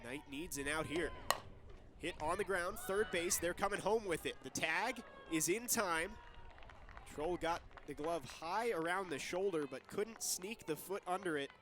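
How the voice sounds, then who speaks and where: Young man calling softball, higher-pitched voice. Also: a little slow, background noise. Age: young adult. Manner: clipped, present-tense calls. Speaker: play-by-play commentator. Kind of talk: live softball play-by-play commentary.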